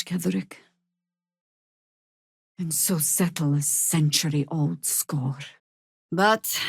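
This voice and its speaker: A weathered old female warrior druid from a fantasy game, speaking on the low side and without any hurry. She is stern and wry, with measured, commanding authority, in a very dry, close recording. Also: creaky, darkish tone, breathy edge, no echo, exaggerated voice. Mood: cold, solemn. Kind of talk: dramatic video game voice acting.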